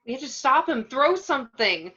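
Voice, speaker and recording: A woman's voice: high-pitched, sing-song, moderate pace, sharp tone, breathy edge, full character voice. A woman playing an emphatic, protective superhero mother in an amateur table read, over lo-fi online audio. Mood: urgent, panicked. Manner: emphatic, emotive. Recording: dull lo-fi online call audio.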